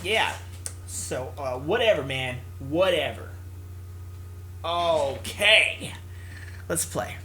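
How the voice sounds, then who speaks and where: A young-sounding gamer talking casually through a visual novel playthrough in a halting, self-interrupting way. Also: mid-range voice, expressive, bright, forceful tone, slight background noise. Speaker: gaming video creator. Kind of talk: casual let's-play, reading a visual novel aloud.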